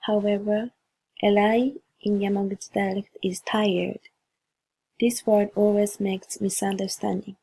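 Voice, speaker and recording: A woman's voice: medium pitch, little pitch movement, unhurried, mellow, breathy edge, low-quality recording. A woman from Japan with a Japanese accent, carefully reading a class presentation in English as a second language. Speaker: student presenter.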